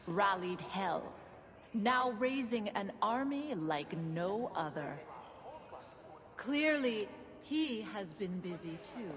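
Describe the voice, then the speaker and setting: An actress playing a proud, sardonic Norse woman bearing ominous news in a video game, measured and taunting. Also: mid-range voice, in a large hall. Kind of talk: dramatic cinematic video game acting.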